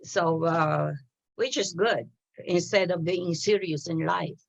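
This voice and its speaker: A woman hosting a community TV interview, asking questions hesitantly with many pauses and fillers. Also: fairly low pitch, creaky. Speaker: TV show host. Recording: dull lo-fi TV audio.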